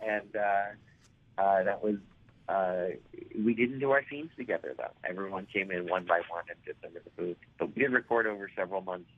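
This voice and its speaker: A man in his forties with a raspy, hoarse voice, telling stories as an interview guest and rambling with many fillers and restarts. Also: low voice, normal speed. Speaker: actor guest. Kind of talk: casual interview storytelling. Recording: tinny phone line.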